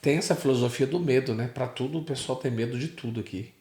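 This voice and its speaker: A man co-hosting a podcast, bantering casually with joking, lively remarks. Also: mid-range voice, fast talker. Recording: echoey room with crowd noise.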